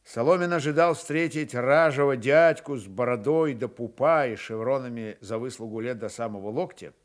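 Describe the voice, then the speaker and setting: A man's voice: medium pitch, moderate pace. A man reading scripted literary narration for a radio drama.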